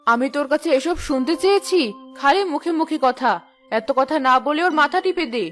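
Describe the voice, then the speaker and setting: High-pitched, spiteful nagging village aunt acted by a woman. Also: a little fast, soft background music, natural voice. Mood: scolding. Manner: nagging, scornful. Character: spiteful, scolding village aunt, middle-aged mother. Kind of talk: Bengali cartoon voice acting.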